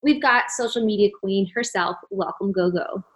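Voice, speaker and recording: A woman's voice: medium pitch, moderate pace, poor audio. A woman hosting a podcast interview in an informal, effusive, chatty style. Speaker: podcast host.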